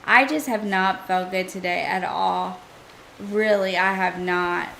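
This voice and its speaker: Chatty woman streamer, a bit hoarse. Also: medium pitch, steady tone, slow pace, a bit bright, a little hiss or hum, poor audio. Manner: chatty, thinking aloud, talks to chat. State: hoarse, losing her voice. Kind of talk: casual gameplay commentary.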